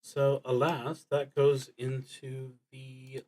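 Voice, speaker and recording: A man with a mid-range voice commentates on his solo roleplaying session as he plays. He speaks very slowly and deliberately, often pausing in the middle of a thought as he works things out aloud. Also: medium pitch, completely flat delivery, warm tone, a little hiss or hum. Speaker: streamer playing a solo tabletop roleplaying game. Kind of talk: live solo game commentary, thinking aloud.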